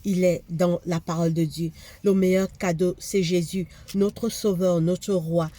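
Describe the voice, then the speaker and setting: A woman's voice: lowish voice, fairly even intonation, measured pace, mellow. A woman with a cold preaching a fervent sermon, repeating phrases for emphasis. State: has a cold, congested.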